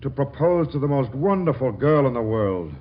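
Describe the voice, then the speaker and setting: An actor playing an earnest, smitten, naive young bridegroom in 1940s radio comedy, with a halting, stammering delivery. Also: male voice, mid-range voice, animated, natural voice. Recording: muffled, hissy 1940s radio recording.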